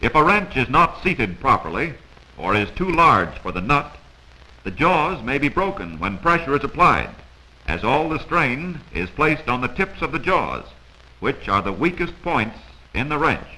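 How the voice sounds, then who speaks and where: A man's voice: mid-range voice, very animated, measured pace, sharp tone, rough recording. An American man narrating a scripted 1940s instructional film in a didactic, admonishing manner, heard on an old film soundtrack.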